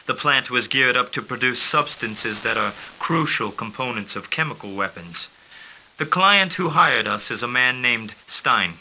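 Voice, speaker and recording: An actor playing a calm, formal male mercenary liaison who briefs a commander on missions in a video game. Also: mid-range voice, unhurried, a little hiss or hum, low-quality recording. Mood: composed. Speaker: mission handler.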